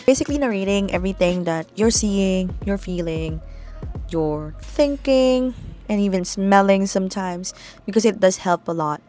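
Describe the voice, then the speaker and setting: A woman's voice: mid-range voice, moderate pace, mellow, soft background music. A young woman from the Philippines with a Filipino accent, a native Tagalog speaker, chatting candidly to camera as a vlogger. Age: young adult. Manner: chatty, candid, sprinkled with likes and asides. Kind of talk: casual talk to camera.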